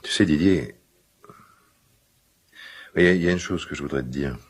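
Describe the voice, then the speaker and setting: An actor playing a middle-aged father in a radio drama, guilt-ridden and awkwardly tender, speaking hesitantly in a gravelly smoker's voice. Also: extremely low pitch. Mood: pained, tender. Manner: hesitant, halting, confiding. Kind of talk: naturalistic French radio drama dialogue.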